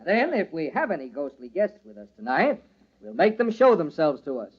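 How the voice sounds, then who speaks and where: An actor playing a jovial party host with a secret lover, in theatrical old-time radio style. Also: male voice, on the high side, wildly swooping pitch, normal speed. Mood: mock-grand, playful. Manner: theatrical mock-pompous speechmaking, hushed urgency in private. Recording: dull old radio recording, organ music behind.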